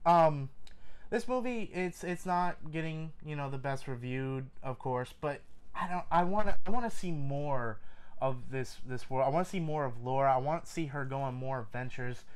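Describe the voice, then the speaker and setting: A man with a clear, smooth voice at a middle pitch co-hosts a casual film review. He talks with enthusiasm and often repeats a phrase to drive it home. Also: moderate pace. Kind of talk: casual movie review chat.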